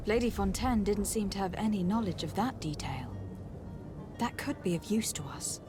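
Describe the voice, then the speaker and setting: An actress playing a young noblewoman envoy in a video game, speaking in a formal, measured and assertive way. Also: mid-range voice, expressive, over music, close to an everyday voice. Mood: composed, formal. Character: composed, stern young noblewoman envoy, diplomatic yet commanding. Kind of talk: video game dialogue acting.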